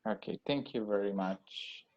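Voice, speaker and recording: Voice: sits low, unhurried, a little mellow, highs cut off. An examiner running a speaking exam with short prompting questions.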